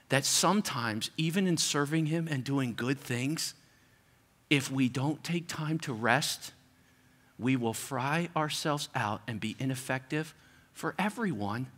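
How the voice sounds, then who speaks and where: A middle-aged man preaches with a bright, forceful tone and a slightly high voice. He talks to the congregation like a friend, stacking repeated phrases as his intensity climbs. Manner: preaching with rising intensity and repetition, conversational asides. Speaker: pastor. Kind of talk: sermon.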